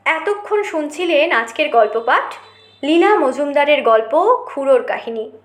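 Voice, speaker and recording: A woman's voice: high voice, lively intonation, a little slow, reverberant. A woman reading a story aloud as an audiobook narrator, in scripted narration.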